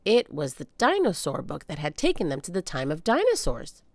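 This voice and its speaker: A woman reading a children's book aloud as an animated storyteller, with dramatic emphasis. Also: low-pitched, normal speed, no room sound. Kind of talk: expressive read-aloud storytelling.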